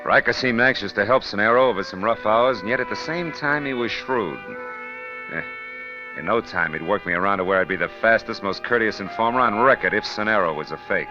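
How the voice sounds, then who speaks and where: An actor playing a shrewd, wisecracking American private detective in mid-century radio noir style, with a wry, clipped, hard-boiled manner. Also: male voice, on the low side, fairly quick, natural voice. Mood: reflective narrating. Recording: dull old radio recording, faint music.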